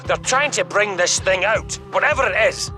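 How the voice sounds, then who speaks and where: A man's voice: high-pitched, lively intonation, normal speed, pushed and bright, soft background music. A man playing a stern but concerned spymaster in a video game, giving earnest orders. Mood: urgent briefing. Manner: commanding, earnest. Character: stern, concerned male spymaster commanding by radio. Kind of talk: video game cutscene acting.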